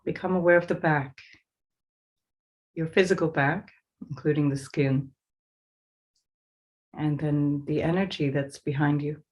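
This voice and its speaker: A woman leading a guided meditation as a teacher, giving slow, soothing instructions with pauses. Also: low-pitched, steady tone, darkish tone.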